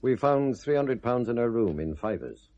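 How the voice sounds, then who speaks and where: An actor playing a patient, probing British police detective in 1970s radio drama, with a measured, dry manner of questioning. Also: male voice, medium pitch, big pitch swings, darkish tone, highs cut off, slight background noise, lightly acted. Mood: dryly probing. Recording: vintage radio drama recording.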